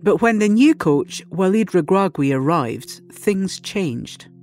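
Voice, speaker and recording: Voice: medium pitch, very animated, slow, a little mellow, faint music behind. A host narrating a scripted report and putting questions to a guest.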